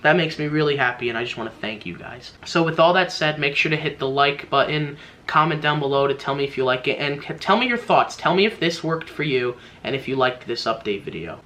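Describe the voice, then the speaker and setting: A man's voice: fairly high pitch, animated, brisk, pushed and bright. A young man chatting informally to viewers as a YouTuber giving a tutorial, rambling and repeating himself a little. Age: young adult. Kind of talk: casual tutorial chat to viewers.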